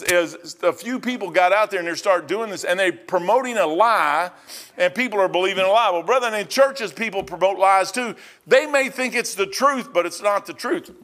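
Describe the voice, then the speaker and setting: A man's voice: high voice, a bit bright. A man, preaching a rambling, conversational sermon and often repeating himself. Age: 60s. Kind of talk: folksy sermon.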